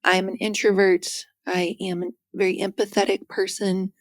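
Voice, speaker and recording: A soft-spoken woman with a gentle voice, an author guest telling stories in a conversational interview with playful quoted asides. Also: mid-range voice, moderate pace, dry recording. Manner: animated storytelling with quoted asides.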